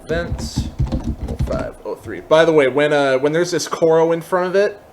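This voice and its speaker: A man's voice: a little high, normal speed. Man giving a tutorial over background noise. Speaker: tutorial host. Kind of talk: casual software tutorial walkthrough.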